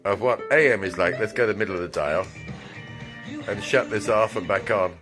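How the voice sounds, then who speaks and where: A man with a low-pitched voice talks casually through a hands-on demonstration, like a technician at work. He drops wry, muttered asides as he goes, and music plays behind him. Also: fairly quick. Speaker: radio repair technician demonstrating a converted car radio. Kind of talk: casual unscripted product demonstration.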